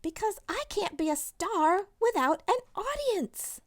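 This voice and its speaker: A woman's voice: very high voice, very animated, measured pace, pitched above the performer's own voice, exaggerated voice. A woman voicing a dramatic, bossy young girl who loves the stage, in a theatrical, declaring way for a picture book. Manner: theatrical, declaring, sing-song.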